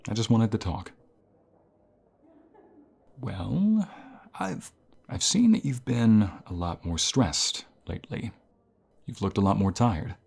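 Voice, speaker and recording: A man playing a caring university professor in an ASMR roleplay, speaking in a calm, reassuring and gentle manner. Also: on the low side, slow, creaky, pauses often, breathy edge, heavily acted. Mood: soothing reassurance. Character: caring male university professor comforting a burnt-out student. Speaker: voice actor.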